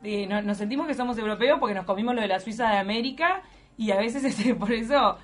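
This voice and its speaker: A woman with a Uruguayan accent speaks as a panelist in a relaxed round-table talk. She tells her stories with animated, indignant energy, and faint music plays behind her. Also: on the high side, fast. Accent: Uruguayan Spanish. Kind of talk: casual discussion.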